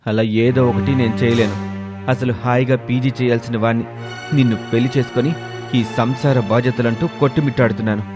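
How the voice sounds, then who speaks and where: A man's voice: medium pitch, fairly even intonation, a little fast, over music, close to an everyday voice. A man voicing a self-pitying, irritable young husband in a story, complaining resentfully. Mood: bitter, irritated.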